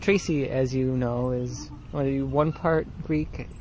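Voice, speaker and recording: A man's voice: medium pitch, steady tone, unhurried, a little mellow, a little hiss or hum, low-quality recording. A man co-hosting a podcast, chatting casually and laughing often while sitting on a swing outdoors. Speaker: podcast co-host. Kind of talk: casual chat.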